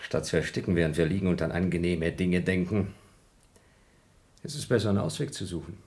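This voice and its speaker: An actor playing an astronaut on a moon station who is agitated and impatient and keeps exclaiming. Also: male voice, low voice, brisk, darkish tone. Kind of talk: 1970s radio play acting.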